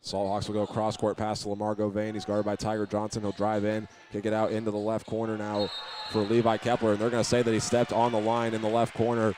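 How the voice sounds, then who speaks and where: A man's voice: monotone, quick pace, a little mellow, a little hiss or hum. A man with an American accent giving live play-by-play radio commentary of a basketball game. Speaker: play-by-play announcer. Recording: clear gym ambience and ball bounces. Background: live basketball game in a high school gym.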